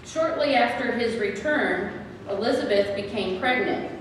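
A woman's voice: a little high, animated, measured pace. A woman reading scripted scripture narration as the narrator of a church drama. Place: large church sanctuary. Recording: echoey church from far off, faint noise.

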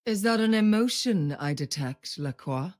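An actress playing a sinister, manipulative female villain with a husky voice and a measured, insinuating manner. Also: lively intonation, a little mellow, no room sound, lightly acted. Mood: sly, probing. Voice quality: low, husky.

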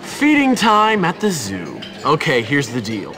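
Manipulative teen schemer for a school game: highish voice, hugely animated, sarcastic, theatrical, fake-friendly. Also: male voice, a little slow, soft background music, heavily acted. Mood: sarcastic, mocking. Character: manipulative, mocking teenage boy, manic school schemer. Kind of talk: video game cutscene acting.

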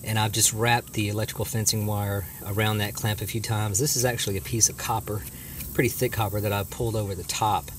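A middle-aged man giving a casual hands-on demonstration in a halting, hesitant way, recorded on a handheld camera. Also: lowish voice, level tone, background noise. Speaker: homestead vlogger. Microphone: handheld camera, speaker behind it.

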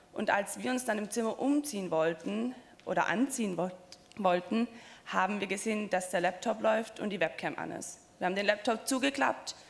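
A woman in her twenties tells her own story in a calm, measured way. Her voice has a gravelly, creaky edge, and it carries a long reverb from the large hall. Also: mid-range voice. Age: 20s. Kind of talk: spoken personal testimony.

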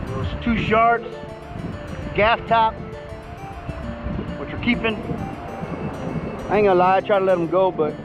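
A middle-aged man chatting casually and excitedly in slang for an outdoor fishing vlog, recorded outdoors on an action camera. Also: high voice, dull audio. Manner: excitable, slangy, calls people bro and baby. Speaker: fishing vlogger. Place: outdoors on a surf beach. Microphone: body or handheld action camera.

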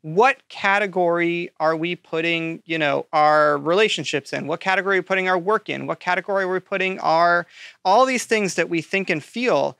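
A man with a fairly high, forward, bright voice talks casually through ideas. He is keen and a little nerdy, often checking that the listener follows. The sound is dry and close. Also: moderate pace, gravelly, clean tone, no room sound. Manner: enthusiastic, self-described nerdy explainer with rhetorical "right?" check-ins. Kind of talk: casual explanatory talk.

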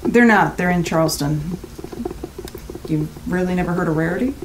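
An actress in an audio drama playing a curt, stressed, critical rental guest, speaking in a blunt, casual and dismissive way. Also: female voice, on the low side, moderate pace, noisy background, lightly acted. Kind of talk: naturalistic audio drama acting.

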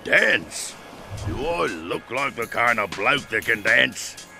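A huge, friendly bruiser of an elephant seal in a cartoon game. The voice is deeply creaky and gruff, animated in pitch, with music clearly behind. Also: male voice, slow pace, full character voice. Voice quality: gravelly. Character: big, gruff, matey male elephant seal.

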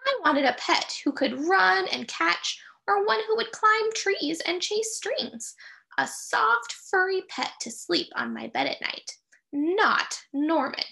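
A middle-aged woman reading a picture book aloud to young children, expressive and sing-song, pausing to put questions to her listeners. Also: very high-pitched, creaky. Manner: expressive, sing-song, with drawn-out key phrases and dramatic gasps.

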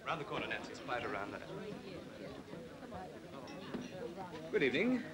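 An actor playing a smooth, manipulative upper-class English captain in his thirties in a 1940s British film drama. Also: medium pitch, sing-song, racing, over a noisy crowd, close to an everyday voice. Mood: breezy. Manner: suave, persuasive. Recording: hissy old film soundtrack.

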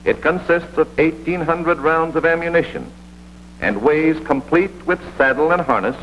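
A man's voice: on the high side, moderate pace. An American man narrating a vintage military training film in a scripted, authoritative instructional manner. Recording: dull vintage film soundtrack with hum and hiss.

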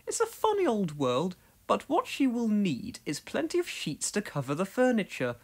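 A woman's voice: medium pitch, huge pitch swings, a little mellow, pitched above the performer's own voice. A woman voicing a fussy, flustered older village lady who chatters primly and frets easily. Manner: prim, chattering, quick to fret.

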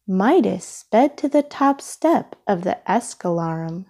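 A woman reading a children's picture book aloud in a deliberate, expressive storytelling manner. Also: medium pitch, breathy edge. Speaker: storybook reader.

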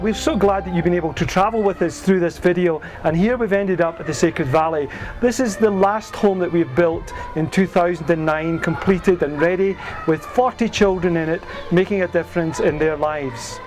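A man's voice: high-pitched, normal speed, hardly any pauses, music in the background. A man in his sixties, sitting outdoors and speaking straight to the camera on a lapel mic with an earnest, persuasive charity appeal. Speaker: charity leader making an appeal. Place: outdoors on a bench by a building in a valley.

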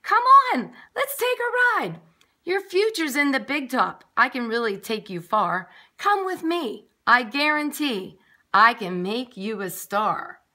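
A woman voicing a showy storybook lion tamer with a commanding, persuasive sales pitch. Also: sing-song, a little slow, pushed and bright, full character voice. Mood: excited persuading.

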